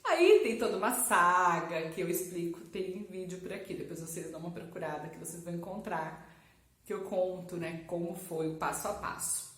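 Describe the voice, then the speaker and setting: The voice of a Brazilian woman vlogger sharing her own story with followers from a bare, echoing room, chatty and expressive. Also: lowish voice, moderate pace. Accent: Brazilian Portuguese. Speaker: language teacher and vlogger. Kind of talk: casual personal storytelling to camera. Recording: echoey, roomy sound.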